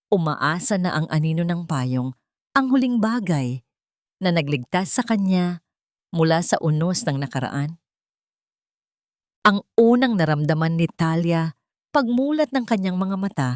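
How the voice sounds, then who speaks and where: A Filipino woman narrates a dramatic audiobook in a fairly low voice. Her delivery is scripted, measured and suspenseful, and the sound is dry and close, with little room in it. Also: darkish tone, no echo.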